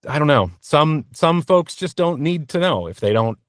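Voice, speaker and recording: A man answering interview questions candidly as a voice actor, in an earnest, reflective and halting conversational manner. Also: fairly high pitch, big pitch swings, no echo, studio quality. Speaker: interview guest, voice actor and narrator.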